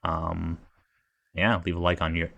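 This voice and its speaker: A man with a low voice talks casually to a livestream, reacting as he goes. He rambles and hesitates, thinking out loud as he searches for the right words. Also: monotone, unhurried, gravelly.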